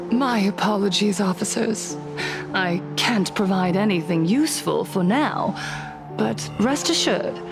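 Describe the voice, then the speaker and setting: A woman playing a serene but unsettling society leader in a video game, speaking in a measured, courteous, devout manner. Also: mid-range voice, vocal fry, lightly acted. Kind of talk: video game character dialogue. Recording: loud music behind.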